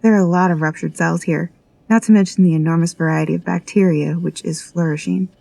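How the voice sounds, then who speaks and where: A woman's voice: fairly low pitch, steady tone, brisk, gravelly, dark tone. A woman playing a serious, skeptical scientist in an audio drama. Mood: clinical, probing.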